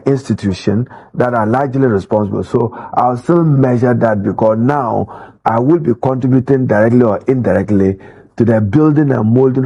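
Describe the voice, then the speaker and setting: A man with a Ghanaian English accent explains policy in a measured, deliberate way, pausing on fillers as he goes. His voice is dark and mellow, with a husky edge. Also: mid-range voice. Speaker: education official. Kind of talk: interview answers.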